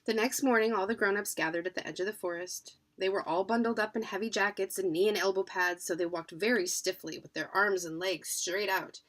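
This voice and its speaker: An adult woman narrating a children's storybook in an expressive reading style. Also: medium pitch, fairly quick, hardly any pauses. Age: adult, parent. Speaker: children's book reader.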